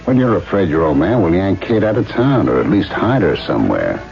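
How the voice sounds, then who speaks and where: Deep gravelly carny tough. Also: male voice, big pitch swings, fairly quick, soft background music, full character voice. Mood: confident, conspiratorial. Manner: wisecracking, streetwise. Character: tough, slangy, cocky male carny schemer. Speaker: radio drama actor. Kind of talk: 1970s radio drama acting. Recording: dull lo-fi old radio.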